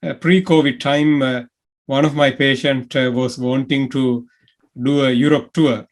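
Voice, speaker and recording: A doctor from Sri Lanka speaking in an interview, halting, with many uh fillers. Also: male voice, mid-range voice, fairly even intonation. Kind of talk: conversational interview.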